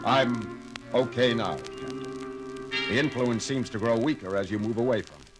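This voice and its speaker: An actor playing a calm, logical male science officer who speaks in a measured, precise and formal way. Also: medium pitch, over music, close to an everyday voice. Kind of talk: 1970s audio drama acting.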